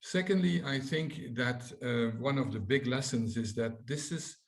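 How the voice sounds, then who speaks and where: A man's voice: mid-range voice, a little slow. A man for whom English is not his first language, answering on a webinar panel in a thoughtful way over a video call. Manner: thoughtful, many fillers and restarts.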